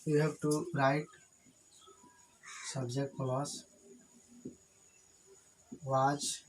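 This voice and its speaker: A man's voice: mid-range voice, little pitch movement, drawn-out words, slight background noise, rough recording. A man from India with an Indian accent and Hindi as his first language, teaching an English grammar lesson at a whiteboard and repeating his points plainly. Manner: repetitive, plain explanations.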